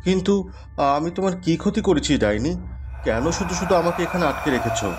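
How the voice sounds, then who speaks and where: A man voicing a devoted, good-hearted village woodcutter in an expressive fairy tale cartoon style. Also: fairly high pitch, normal speed, faint music behind, voice pushed up, close to an everyday voice. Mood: alarmed, indignant. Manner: earnest, polite, expressive.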